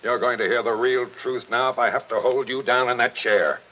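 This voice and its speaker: A man playing a gruff, relentless police captain with forceful, clipped talk in a 1940s radio drama. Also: medium pitch, fairly even intonation, fairly quick, close to an everyday voice. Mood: insistent, explaining. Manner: forceful, insistent, clipped tough-cop talk. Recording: dull vintage 1940s radio recording.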